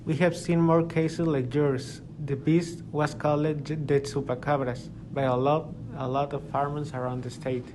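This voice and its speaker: A man's voice: on the high side, little pitch movement, moderate pace, hardly any pauses, a little mellow, slight background noise, lightly acted. A man playing a reassuring expert hunter of beasts in an amateur radio drama.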